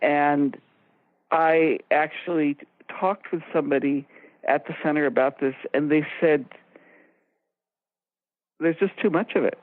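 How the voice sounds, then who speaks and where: A woman co-hosting a radio discussion, explaining ideas in a measured way and stressing her key points. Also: sits low, dry recording. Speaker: radio show co-host and expert. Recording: narrow phone-like line, very dry.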